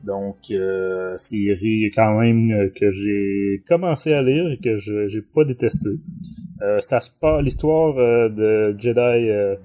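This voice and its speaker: A man's voice: lowish voice, slow pace, mellow, soft background music. A man with a Quebec French accent, casually discussing a book as a podcast co-host. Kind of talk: casual chat.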